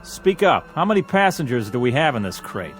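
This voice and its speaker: Male actor as airport operations chief, gruff, commanding, animated intonation, TV drama, prominent music. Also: mid-range voice, fairly quick, close to an everyday voice. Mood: impatient, barking. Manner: commanding, blunt, demanding. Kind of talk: television drama acting.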